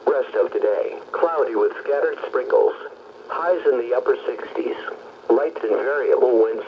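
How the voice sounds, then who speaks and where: Synthetic male announcer, scripted weather bulletin, radio playback, dull lo-fi sound, clear static. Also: mid-range voice, normal speed. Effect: played over a radio. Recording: muffled, noisy radio reception through speakers. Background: radio static and hiss.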